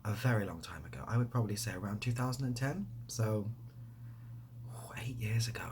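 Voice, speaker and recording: A man speaking softly in a casual ASMR style, breathy and often dropping to a whisper, rambling hesitantly with a self-deprecating manner. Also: lowish voice, fairly quick, noisy background. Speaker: ASMR video creator and host. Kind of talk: soft-spoken ASMR, casual question-and-answer to camera.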